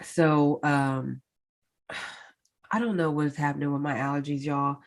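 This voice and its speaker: A woman's voice: sits low, fairly even intonation, slow. A woman hosting her own podcast in a casual solo update, congested and sounding nasal, chatty with many fillers and a lilt. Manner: chatty, many fillers, addresses listeners directly. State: congested, stuffed-up nose. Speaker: podcast host.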